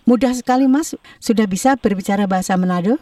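A woman's voice: medium pitch, fast, dark tone, no echo, distant mic. A woman hosting a radio program, asking friendly, chatty questions. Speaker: radio host. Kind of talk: radio interview. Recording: dry voice, a bit off-mic.

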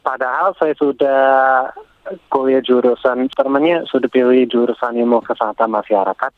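Foreign male guest on a phone line, careful and halting, dry and lo-fi. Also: mid-range voice, no room sound. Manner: halting, careful speech of a language learner. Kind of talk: radio interview.

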